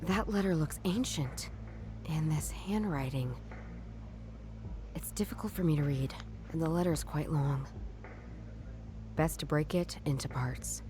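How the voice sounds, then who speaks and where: An actress playing a wry female detective working alone in a noir visual novel, with a dry, deliberate delivery. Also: sits low, background noise. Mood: focused, musing. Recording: game music playing behind the voice.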